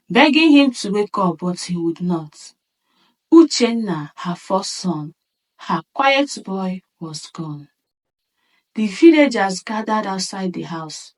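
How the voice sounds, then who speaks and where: Woman, story narrator, very slow, measured and dramatic, mid-pitched, slightly off-mic. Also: recorded from a distance, low-quality recording. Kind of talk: scripted narration of a sad folktale.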